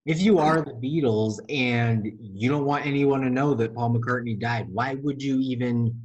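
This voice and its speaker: A man's voice: medium pitch, normal speed, muffled recording. A man in a casual podcast conversation, chiming in with questions and comments. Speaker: podcast panelist.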